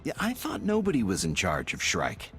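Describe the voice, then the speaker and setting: A man's voice: deep voice, wildly swooping pitch, moderate pace, over music, full character voice. A man playing a skeptical, direct squad agent in a video game cutscene, speaking matter-of-factly.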